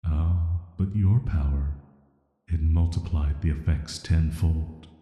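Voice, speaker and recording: A man playing an ancient cursed villain in a sleep-aid audio roleplay, speaking in a soft, flat, soothing and intimate way, flirty yet dangerous. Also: rumbling low, slow pace. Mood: gentle, intrigued. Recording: echoey, long reverb.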